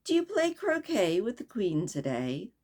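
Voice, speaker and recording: A woman voicing a sly, riddling cat, speaking softly with a teasing drawl in a storybook reading. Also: mid-range voice, huge pitch swings, measured pace. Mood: languid. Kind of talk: storybook read-aloud.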